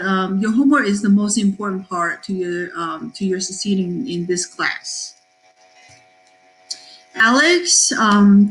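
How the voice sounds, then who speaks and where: Brief: middle-aged woman teacher from Taiwan, upbeat, filler-heavy, mid-pitched voice. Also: a bit bright, low-quality recording. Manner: cheerful, with many fillers and pauses. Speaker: math teacher. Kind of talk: class introduction talk.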